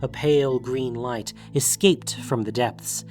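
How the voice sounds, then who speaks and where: A man's voice: medium pitch, slow pace, a little mellow, soft background music. A man reading scripted third-person narration for a fantasy audio drama.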